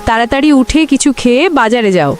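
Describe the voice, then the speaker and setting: A woman's voice: a little high, expressive, quick pace, faint music behind, close to an everyday voice. A woman voicing a bossy, nagging village wife in a cartoon, exasperated with her lazy husband. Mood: scolding. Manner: nagging, sharp-tongued. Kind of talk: cartoon voice acting.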